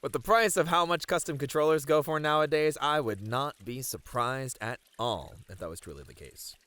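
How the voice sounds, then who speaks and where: Casting: male actor as easygoing gamer event manager, very animated intonation, mid pitch, chatty and a bit flustered, ASMR roleplay. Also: normal speed, smooth, no echo, close to an everyday voice. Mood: animated, candid. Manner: casual, chatty, hesitant filler-laden banter. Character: friendly, slightly flustered male gaming tournament manager.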